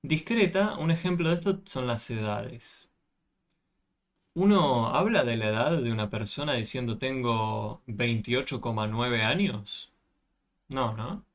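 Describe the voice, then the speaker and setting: A man's voice: medium pitch, unhurried. A man tutoring a math class over a video call, patiently explaining and often checking with questions.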